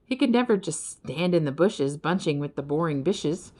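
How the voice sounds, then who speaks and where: Lively low-voiced woman storyteller. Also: normal speed. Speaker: audiobook reader. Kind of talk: expressive children's story narration.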